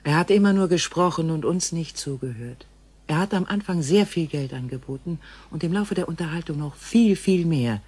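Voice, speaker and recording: A woman playing a grave, gentle female alien visitor in a science fiction radio play, speaking in formal, measured phrases. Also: low voice, expressive, slightly rough. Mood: grave, worried.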